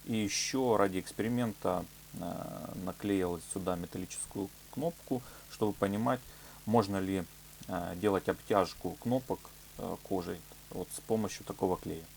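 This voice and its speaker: Male craft demonstrator, lowish voice, informal and explanatory with hesitant fillers, over noticeable humming noise. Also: normal speed. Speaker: leathercraft workshop tutorial presenter. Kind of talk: informal hands-on product demonstration. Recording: clear background noise with hum.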